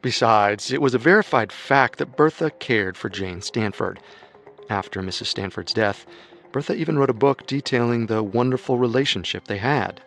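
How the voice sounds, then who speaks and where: A man narrating a true-crime radio story in a measured, skeptical way over soft music. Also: mid-range voice. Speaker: narrator and co-host.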